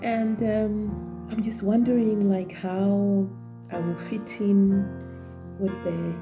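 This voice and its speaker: A woman in her forties from Zimbabwe, with a Zimbabwean accent, talking thoughtfully in a personal vlog with many pauses and fillers. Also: mid-range voice, level tone, over music. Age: 40s. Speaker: vlogger and trainee teacher.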